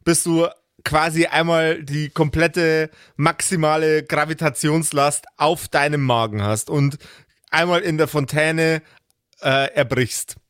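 A man in a casual podcast chat, talking hesitantly with a wry touch and drawn-out pauses. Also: a little high, fairly even intonation, a bit bright, clear, resonant tone, no room sound, studio quality. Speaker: podcast regular.

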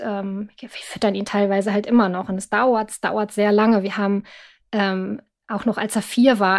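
A middle-aged woman giving a personal account as an interview guest, reflective and often pausing to search for words. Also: mid-range voice. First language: German.

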